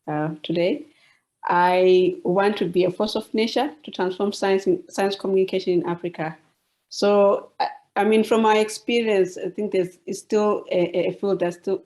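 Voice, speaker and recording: A woman with a Zimbabwean accent introducing herself in a webinar, speaking haltingly and repeating words. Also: mid-range voice, darkish tone. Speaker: journalist and science communication presenter.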